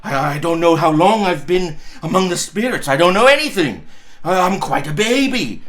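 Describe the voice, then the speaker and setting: A man's voice: high voice, sing-song, moderate pace, a bit bright. A man voicing an overjoyed, newly reformed old miser in a storybook reading, exuberant and stammering with exclamations. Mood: carefree giddiness.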